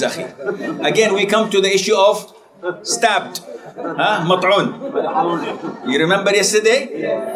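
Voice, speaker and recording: Middle-aged male lecturer, a bit high-pitched, talks to the class in a chatty, self-correcting way. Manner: conversational, self-correcting, asks the class questions. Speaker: Islamic lecturer.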